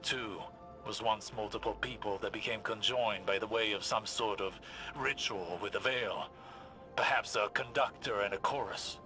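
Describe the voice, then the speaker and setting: An actor playing a grave, cautious scholarly mentor in a video game drama, speaking in a deliberate, formal, thoughtful manner. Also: male voice, lowish voice, pushed and bright. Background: music.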